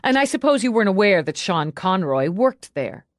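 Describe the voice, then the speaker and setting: A woman's voice: mid-range voice, sing-song, normal speed, no room sound, clean studio sound, lightly acted. Hard, relentless policewoman grilling a suspect , read by a woman. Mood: accusing. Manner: pressing, confrontational questioning. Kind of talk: audiobook character dialogue.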